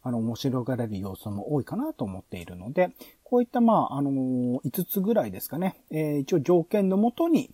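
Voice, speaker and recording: Halting, animated man with a soft-edged voice. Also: mid-range voice, breathy edge, dry recording, studio quality. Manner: halting, with drawn-out filler vowels and self-corrections. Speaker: podcast host. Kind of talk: casual planning talk, explaining proposals.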